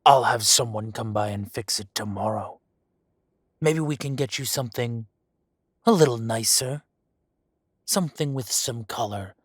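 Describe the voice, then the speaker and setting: A husky, unhurried dragon crime boss, as a man acts him out lets each threat drip with mocking calm. His voice is close and dry, with no room around it, and every word lands slowly. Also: medium pitch, no echo, natural voice. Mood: cold threat. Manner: slow, purring, mocking menace. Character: menacing male dragon posing as a mafia boss. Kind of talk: roleplay audio drama.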